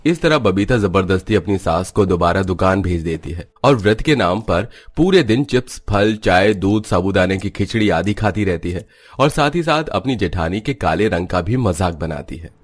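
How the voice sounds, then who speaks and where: A man's voice: deep voice, animated, moderate pace. A man giving scripted narration for a cartoon story, as its storyteller.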